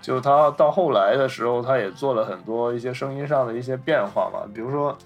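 Male podcast co-host, mid-pitched, explanatory and conversational, casual film talk with music behind. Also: a little fast, dull audio.

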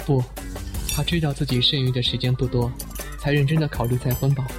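A man plays a tycoon's middle-aged brother in an audio drama. He explains things in a measured way at an average pitch, with faint music and tableware clinks around him. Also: fairly even intonation, lightly acted. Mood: reflective.

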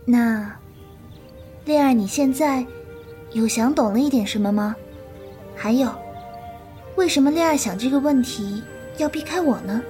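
High, tender young female disciple, played by a woman. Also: a little slow, long pauses, mellow, soft background music, close to an everyday voice. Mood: curious, coaxing. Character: gentle, affectionate young female disciple, elder martial sister. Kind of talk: Chinese period audio drama acting.

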